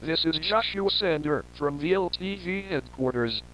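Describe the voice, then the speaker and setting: A man voicing a news reporter who reads a grave emergency warning in a formal, measured way. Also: a little high, animated, fairly bright tone. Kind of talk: scripted emergency broadcast announcement. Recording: muffled lo-fi audio with noisy hum.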